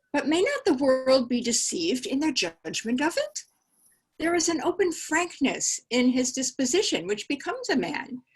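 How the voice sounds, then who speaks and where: A woman's voice: high voice, breathy edge, full character voice, rough recording. A woman reading the part of a sly young woman who talks up a rich suitor, in a dramatic reading over a video call. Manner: slow, wry, persuasive delivery. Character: young woman Georgiana, sly, practical about money.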